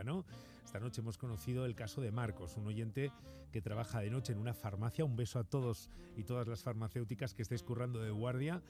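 A man hosts a late-night radio call-in show, talking easily and turning questions to the audience. His voice sits mid-range, and clearly audible plucked guitar music plays underneath him. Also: medium pitch, dark tone. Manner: conversational, asks listeners questions. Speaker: radio host. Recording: music bed behind.

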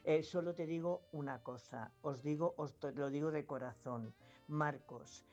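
Low-voiced Spanish grandmother calling in. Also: unhurried, darkish tone. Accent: Spanish from Spain. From La Mancha, Spain. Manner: earnest, insistent, repeats herself, many hesitations. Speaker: radio caller. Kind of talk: emphatic advice on a phone-in. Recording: dull lo-fi phone-in line with faint music.

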